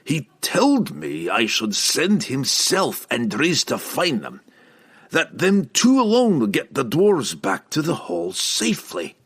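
A man's voice: on the high side, huge pitch swings, slow pace, husky, voice pushed up, full character voice. A man voicing a flustered, stubborn old dwarf king with a blustering manner and rustic dialect in an audiobook. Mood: insistent, confused.

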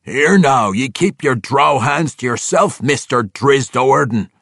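A blustering, contemptuous dwarf, lifted high above the narrator's own voice and loud. His gruff brogue is close and dry. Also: very animated, normal speed, dry recording, full character voice. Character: hot-tempered male dwarf, contemptuous.

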